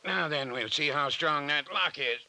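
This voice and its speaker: A man's voice: on the high side, moderate pace, close to an everyday voice. A man playing a folksy, crusty small-town doctor in an old-time radio serial, talking in rustic exclamations. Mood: agitated, exasperated. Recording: noisy, crackly old-time radio recording.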